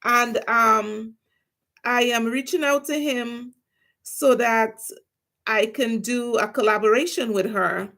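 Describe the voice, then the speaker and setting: Woman, Trinidadian accent, nail tech vlogger, casual chatty livestream talk, vocal fry. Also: a little high, a bit bright. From Trinidad and Tobago. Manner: chatty, talks to viewers while doing her nails.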